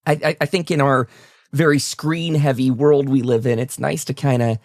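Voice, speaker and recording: A man's voice: mid-range voice, steady tone, normal speed, creaky, no echo, clean studio sound. A man hosting a podcast interview in casual, enthusiastic chat, rambling a little as he thinks aloud. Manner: enthusiastic, complimentary. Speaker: podcast host interviewing a guest.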